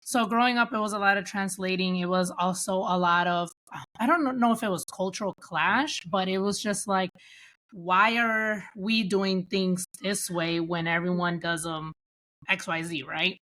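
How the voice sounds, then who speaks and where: A woman's voice: fairly low pitch, steady tone, moderate pace, a bit bright. A woman from the United States with Dominican family, telling personal stories casually as an interview guest, often acting out bits of dialogue. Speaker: podcast guest.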